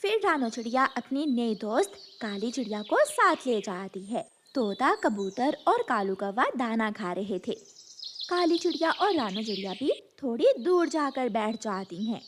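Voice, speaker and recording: High-pitched woman narrating a children's story. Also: sing-song, a little slow, a little hiss or hum.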